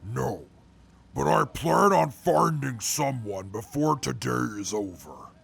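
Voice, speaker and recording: A man plays a haughty, educated interviewer in an audio drama. His mid-pitched voice is thick with gravel. He speaks slowly and formally, with proud, pompous disdain. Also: expressive, a bit bright, exaggerated voice. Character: haughty, proud, bookish male radio interviewer with a temper.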